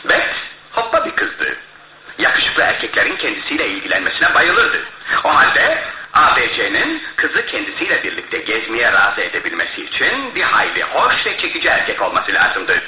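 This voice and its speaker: Confident detective in an old radio drama, voice swings up and down a lot, explains deliberately, dull echoey sound. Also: male voice, medium pitch, huge pitch swings, slight background noise. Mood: expository, confident. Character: shrewd, self-assured male detective laying out his case. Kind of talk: radio theatre acting. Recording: muffled, roomy radio drama recording.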